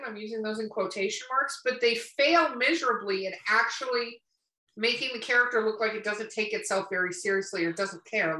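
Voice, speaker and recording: A woman's voice: on the high side, pushed and bright. A woman hosting a podcast in casual chat, blunt and opinionated, swearing freely. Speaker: podcast host. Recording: roomy echo, distant from mic.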